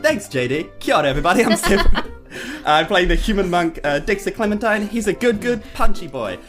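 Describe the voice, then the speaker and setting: A woman's voice: medium pitch, sing-song, brisk, faint music behind. A woman, chatting casually and playfully as a player on a tabletop game show. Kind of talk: casual chat, playful introductions.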